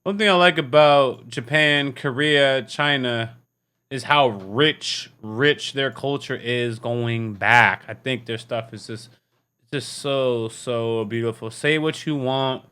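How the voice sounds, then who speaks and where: A man's voice: medium pitch, slow, bright, forceful tone, clean tone. A man hosting a reaction video, talking casually and candidly in a rambling, tired way. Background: music.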